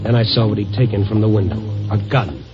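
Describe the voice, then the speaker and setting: A man's voice: fairly low pitch, fairly quick, over music, close to an everyday voice. A man playing a world-weary night reporter, narrating in a laconic, hard-boiled 1950s radio style. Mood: brooding. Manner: laconic, wry first-person narration. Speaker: lead actor of a radio drama. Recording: dull lo-fi old radio recording.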